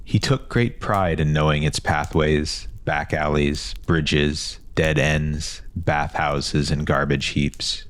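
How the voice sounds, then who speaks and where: Man, bottomless bass, scripted fantasy narration, dry recording. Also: steady tone, takes it slowly, hardly any pauses, fairly bright tone, dry recording.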